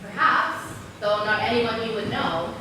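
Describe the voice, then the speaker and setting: A woman acting a guarded, wry high-school girl in an amateur stage play, with a cool, teasing manner. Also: measured pace, close to an everyday voice. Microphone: distant video camera. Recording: distant microphone, echoey noisy hall.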